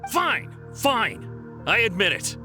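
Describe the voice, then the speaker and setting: A man's voice: high-pitched, wildly swooping pitch, slow pace, over music, exaggerated voice. An actor playing a boastful male thief who has been caught, indignant and flustered. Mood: grumbling. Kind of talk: video game voice acting.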